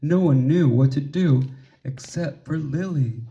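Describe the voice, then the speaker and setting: A man reading a children's story aloud expressively, with measured pauses and emphasis for drama. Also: on the high side, warm tone, muffled recording. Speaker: storybook reader.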